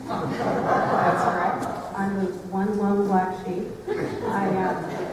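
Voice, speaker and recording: A woman state legislator giving a brisk, confident campaign speech at a candidate forum. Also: medium pitch, background noise. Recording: echoey hall, mic far off.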